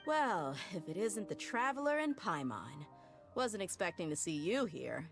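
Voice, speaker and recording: A woman voicing a confident young female desert mercenary in an anime game, relaxed, wry and familiar in manner. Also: on the low side, unhurried, faint music behind. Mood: friendly surprise.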